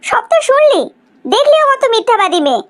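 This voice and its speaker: A woman's voice: extremely high pitch, big pitch swings, fairly quick. A woman playing a disapproving, opinionated mother of a grown son in a cartoon story. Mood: scolding.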